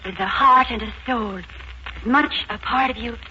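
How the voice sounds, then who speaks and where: An actress playing an earnest, modest young Irish housemaid, deferential, with an Irish accent, in 1940s radio drama style. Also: female voice, on the high side, unhurried, lightly acted. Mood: shy, earnest. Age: young adult. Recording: dull, hissy 1940s radio recording.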